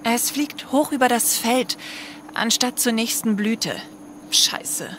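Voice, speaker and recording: A woman's voice: a little high, takes it slowly, a bit bright, slight background noise. An actress playing a stern, worried female scientist around forty in an audio drama. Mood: alarmed.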